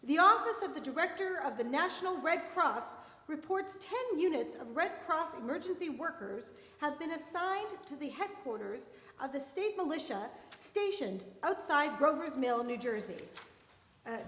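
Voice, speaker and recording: A high female voice rings through a reverberant hall. A woman plays a formal radio announcer reading news bulletins, slightly halting, in a live radio drama. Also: expressive, a bit bright, slight background noise. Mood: formal, urgent. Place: live performance in a church. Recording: echoey church hall live performance.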